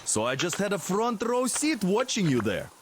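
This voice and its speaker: Cocky young comrade, game drama, very lively high voice, wry complaining, noisy recording. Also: male voice, normal speed. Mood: playful grumbling. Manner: animated, joking complaint. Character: cocky, grumbling young male soldier comrade.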